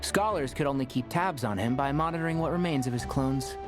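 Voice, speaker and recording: A man voicing a knowledgeable, calm, friendly young scholar in a video game, articulate and explanatory. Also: mid-range voice, fairly quick, close to an everyday voice. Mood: composed. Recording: game music behind.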